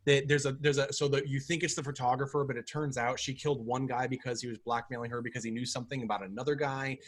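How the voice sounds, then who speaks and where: A man's voice: mid-range voice, level tone, fast talker. A man co-hosting a film podcast, talking casually with wry sarcasm. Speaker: podcast co-host. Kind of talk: casual film review chat.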